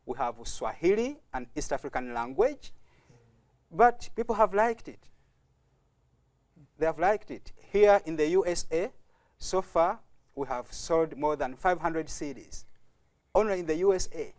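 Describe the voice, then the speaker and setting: A man's voice: fairly high pitch, expressive, measured pace, pauses often, a little mellow. A man from Uganda who belongs to a youth music group, answering interview questions politely and earnestly. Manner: polite, earnest, often says thank you. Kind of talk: studio interview guest. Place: TV studio.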